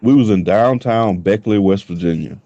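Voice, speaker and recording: A man in his thirties from the US South trades rough, laughing stories on a podcast. His voice sits low and mellow. The recording is dry and a little dull. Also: moderate pace, no echo. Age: 30s. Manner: loose, profane storytelling with frequent laughs. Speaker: podcast co-host. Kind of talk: casual chat.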